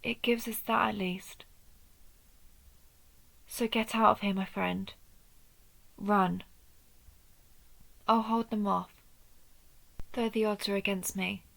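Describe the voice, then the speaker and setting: A woman playing a guilt-ridden vampire hunter in an intimate audio roleplay, with a halting, brooding delivery. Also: medium pitch, fairly even intonation, vocal fry, fairly bright tone, low-quality recording. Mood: urgent, menacing. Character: guilt-ridden female vampire hunter, wounded, turning dark. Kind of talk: dramatic audio roleplay spoken to one listener.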